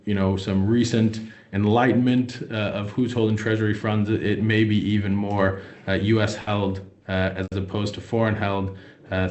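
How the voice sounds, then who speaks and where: A man's voice: lowish voice, darkish tone, roomy sound. A young American man presenting a finance webinar, hesitant with many fillers and restarts. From United States. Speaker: wealth manager presenting a webinar.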